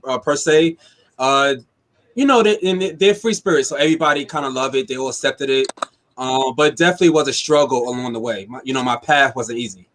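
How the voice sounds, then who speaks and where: A man's voice: medium pitch, fairly bright tone, slight background noise. A man speaking openly and reflectively as a guest on an online talk show, with many fillers and restarts. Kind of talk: casual chat.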